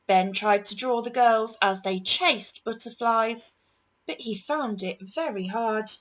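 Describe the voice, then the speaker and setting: A woman reading a children's picture book aloud as a storyteller, with deliberate, dramatic emphasis. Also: on the high side, a bit bright.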